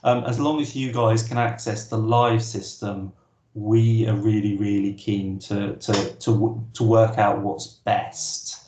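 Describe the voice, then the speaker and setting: A man's voice: fairly low pitch, level tone, a little slow, warm tone, a little hiss or hum. Hesitant man, roomy echo. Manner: casual, hesitant, many restarts. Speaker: workshop presenter. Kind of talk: online workshop Q&A.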